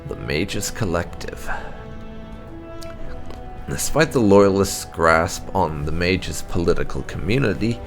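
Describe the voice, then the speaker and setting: Deep voiced guy reading out game lore, lots of vocal fry, measured storyteller feel, faint music behind. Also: lively intonation. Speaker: gaming video host. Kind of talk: reading game lore notes aloud, narration.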